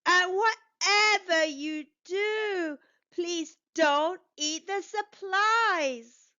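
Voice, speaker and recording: A woman's voice: very high-pitched, very slow, pushed and bright, highs cut off, pitched above the performer's own voice, full character voice. A woman voicing an earnest, sweet-toothed male beaver in a children's storybook, speaking with emphasis. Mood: rallying.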